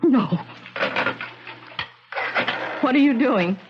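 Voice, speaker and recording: Guilt-torn lady crook, anguished halting delivery, defiant, dull 1970s radio drama sound, faint noise. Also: high-pitched, lively intonation. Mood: desperate. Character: guilt-torn female swindler and killer, defiant accomplice. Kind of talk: 1970s radio mystery acting.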